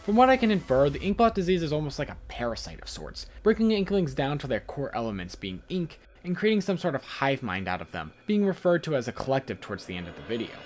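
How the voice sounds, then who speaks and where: A man's voice: medium pitch, big pitch swings, fast talker, hardly any pauses, soft background music. A man hosting a video essay in a casual commentary voice-over, chatty and informal, with an enthusiastic way of talking.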